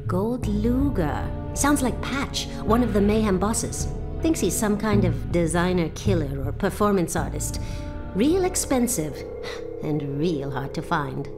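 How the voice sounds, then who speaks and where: A woman's voice: fairly low pitch, animated, unhurried. A woman playing a cocky, flirtatious hitwoman in a video game, sassy and nonchalant. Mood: cool, nonchalant. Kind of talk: video game cutscene acting. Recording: music behind the voice.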